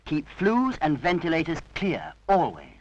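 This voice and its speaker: A man's voice: fairly high pitch, wildly swooping pitch, normal speed, no echo. A man reading a 1970s public safety announcement. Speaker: narrator. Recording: muffled, faintly noisy film soundtrack.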